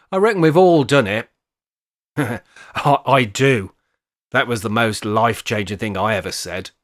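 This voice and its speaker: A man acting a wry older English fellow, a scheming care home resident in a radio play. He speaks in jokey, mock-grand phrases at a middling pitch. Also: fairly bright tone, lightly acted.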